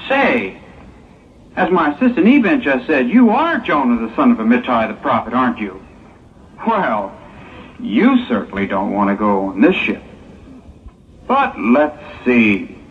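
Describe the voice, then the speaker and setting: An actor playing a talkative, inquisitive Hebrew ship agent with emphatic, persuasive patter in an old audio drama. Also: male voice, mid-range voice. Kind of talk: 1960s audio drama acting. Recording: muffled, noisy old phone-like audio-drama tape.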